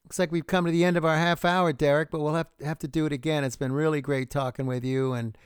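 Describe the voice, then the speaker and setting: A man's voice: on the high side, level tone, quick pace, clean tone, no echo, clean studio sound. A man hosting an interview show, easygoing and friendly, calling his guest man. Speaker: show host, guitarist.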